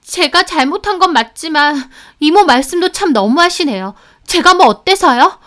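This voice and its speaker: Story part: woman voicing a brazen young housekeeper, whiny, high and shrill. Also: animated, normal speed, fairly bright tone. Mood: defiant.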